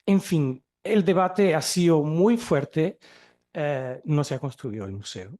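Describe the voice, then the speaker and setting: A Portuguese man, a native speaker of Portuguese, lectures at a seminar in Spanish with a Chilean-flavored accent and a slightly raised pitch. He speaks haltingly, with frequent fillers and self-corrections, through a microphone in a lecture hall. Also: expressive, dark tone. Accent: Chilean-tinged Spanish. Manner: hesitant, many fillers and restarts. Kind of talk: academic lecture.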